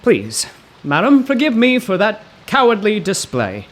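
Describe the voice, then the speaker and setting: An actor playing a timid, flustered poetry professor in a 1930s-style radio comedy, speaking in formal, ornate, apologetic phrases. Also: male voice, high voice, huge pitch swings, measured pace, a little hiss or hum, heavily acted. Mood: sheepish, polite.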